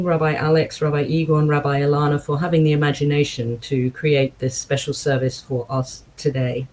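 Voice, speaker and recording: A woman reading aloud to a congregation in an expressive style, with measured, deliberate phrasing and emphatic pauses. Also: low voice, slight background noise, rough recording. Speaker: guest reader at a synagogue service. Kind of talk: expressive book reading with short spoken introduction.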